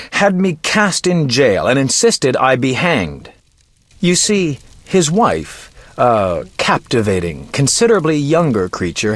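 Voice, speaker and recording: A man's voice: mid-range voice, in a large hall. A man playing a vain, roguish poet and bard in a video game, speaking in a theatrical, florid, self-important way. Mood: theatrical, boastful. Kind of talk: video game voice acting, storytelling.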